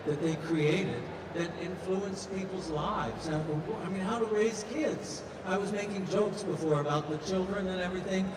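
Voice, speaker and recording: A man talking emphatically as a convention panelist, repeating phrases for stress. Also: high-pitched. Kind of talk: animated convention panel talk. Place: large convention hall panel. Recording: echoey hall, distant, noisy PA sound.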